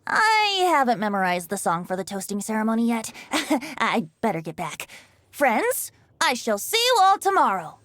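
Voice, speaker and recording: A woman's voice: a little high, huge pitch swings, moderate pace, fairly bright tone, dry recording. A woman voicing a playful, theatrical youthful male bard in a video game, with a florid, self-assured manner.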